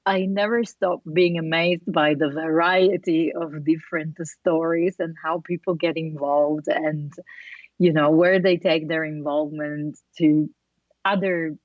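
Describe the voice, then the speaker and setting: A woman with a strong Italian accent, speaking English as her second language in a relaxed interview chat. Her voice sits low for a woman and sounds dry and a little muffled. She pauses often with fillers and restarts her sentences. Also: dry recording. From Italy. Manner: thoughtful, with many fillers and restarts. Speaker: podcast guest.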